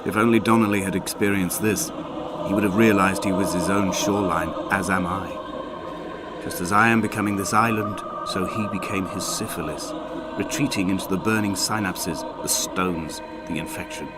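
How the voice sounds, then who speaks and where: A man's voice: low voice, fairly even intonation, normal speed, close to an everyday voice. An actor playing a grieving, feverish injured castaway who narrates his letters in a brooding, solemn, literary way for a video game. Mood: brooding, sorrowful. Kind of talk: dramatic scripted narration, poetic monologue. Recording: loud music under the voice. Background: loud music.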